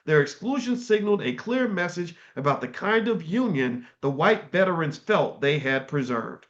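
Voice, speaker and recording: A man's voice: high-pitched, lively intonation, normal speed, a bit bright. A middle-aged man reading passages from a history book aloud and stopping to comment on them in a casual way. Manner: reads passages and breaks off to comment. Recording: dull, slightly lo-fi sound.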